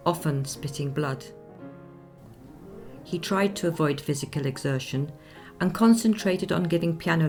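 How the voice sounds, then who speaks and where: A composed lady of the 19th century in a radio play. Her voice is low with a touch of gravel, and she recounts the past formally and with discretion. Music plays behind her. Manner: measured, formal, genteel storytelling.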